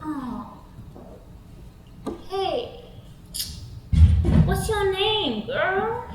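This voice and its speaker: A woman's voice: very high voice, big pitch swings, drawn-out words, pitched above the performer's own voice, heavily acted. A woman comedian voicing a creepy, dim, swaggering man who flirts with slangy, leering drawl, in an echoey room. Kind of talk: stand-up comedy character impression. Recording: echoey room, faint noise.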